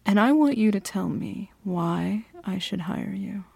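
A woman playing a slow, teasing bossy CEO. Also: mid-range voice, expressive, vocal fry, breathy edge, heavily acted. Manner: slow, confident, teasing, with soft laughs. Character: bossy, teasing female CEO interviewing an applicant. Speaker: ASMR roleplay performer. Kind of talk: flirty ASMR roleplay.

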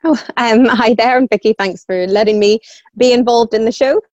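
A woman's voice: a little high, moderate pace, darkish tone, dry recording. Northern Irish woman, slightly dull dry recording. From Northern Ireland. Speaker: podcast guest, small business founder. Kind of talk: casual conversational storytelling. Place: at home.

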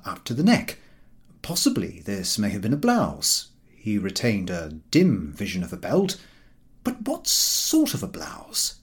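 A man reading a comic novel as scripted narration, in an expressive, theatrical storytelling manner. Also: lowish voice. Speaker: audiobook reader.

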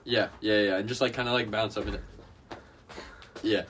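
A man's voice: fairly low pitch, moderate pace. A young man from New Hampshire, co-hosting and steering a rowdy casual chat, laughing a lot. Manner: giggly, animated, steering the talk. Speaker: podcast co-host. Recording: clear background noise.

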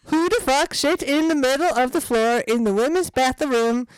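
A woman's voice: high voice, normal speed, clear, resonant tone, no room sound, low-quality recording. Laughing, self-deprecating woman co-host. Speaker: podcast co-host. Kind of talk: casual chat and reading a humorous essay.

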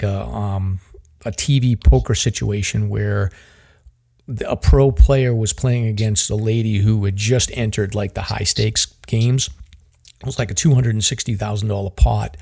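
A low-pitched man with a Boston accent and a gravelly, husky voice. He hosts a podcast in easygoing chat and rambles through his points, full of "like" and "um". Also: warm tone. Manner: rambling, heavy with fillers like "like" and "um". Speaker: podcast host. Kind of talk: casual chat.

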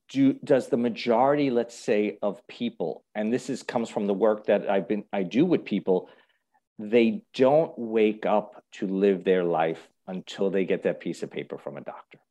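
A man hosting a casual, reflective conversation, speaking thoughtfully and haltingly and often checking in with the listener. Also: lowish voice, animated, dark tone. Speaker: host.

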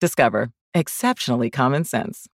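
An American woman reading a scripted commercial voice-over in a mock-serious, deadpan way. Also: very deep voice, brisk, no room sound, studio quality. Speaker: advertisement voice-over.

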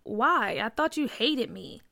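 A woman's voice: a little high, big pitch swings, slow pace, vocal fry, sharp tone, no echo, studio quality, heavily acted. A woman voicing an eager, earnest young boy with a plaintive edge in a children's story. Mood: grateful, puzzled. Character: eager young boy, daring but easily shaken. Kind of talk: acted children's story dialogue.

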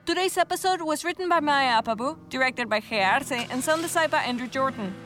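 A woman's voice: high-pitched, lively intonation, brisk. A woman reading scripted end credits as a podcast announcer, with guitar music behind her. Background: plucked guitar music.

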